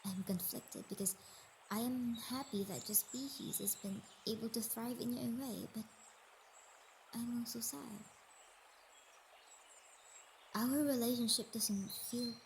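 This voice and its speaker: Woman acting high-voiced, wounded, motherly Earth goddess. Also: normal speed, slight background noise, lightly acted. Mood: sad, conflicted. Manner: tender, hesitant, emotional, breaking into whispers. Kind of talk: scripted intimate audio roleplay monologue. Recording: nature ambience with birdsong behind voice.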